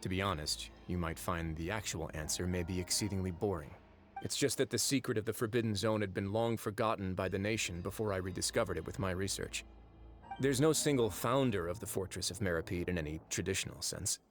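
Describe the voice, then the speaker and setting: An actor playing a composed, wry young duke in a video game, speaking in a deliberate, measured storytelling manner. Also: male voice, low-pitched, faint music behind. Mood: calm, measured. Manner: deliberate, dryly wry, unhurried. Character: composed, wry young duke who runs an underwater prison fortress.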